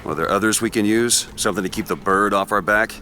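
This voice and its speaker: Low-voiced, world-weary private eye. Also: male voice, fast. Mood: guarded. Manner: terse, plain-spoken, folksy. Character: gruff, weary male private investigator in 1912. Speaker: video game protagonist. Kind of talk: cinematic game voice acting. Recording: game audio with loud ambient noise.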